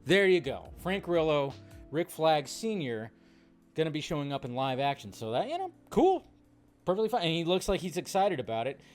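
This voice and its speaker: Male film-channel host, higher-than-average pitch, slangy opinionated delivery, background music. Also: very animated, moderate pace, a little mellow. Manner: emphatic, rambling, slangy. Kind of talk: casual opinionated fan commentary. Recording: music behind the voice.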